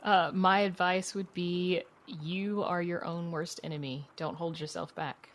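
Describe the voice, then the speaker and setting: A woman speaking as a podcast guest in casual interview talk, candid and encouraging, with plenty of "you know". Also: medium pitch. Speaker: podcast guest, writer and producer.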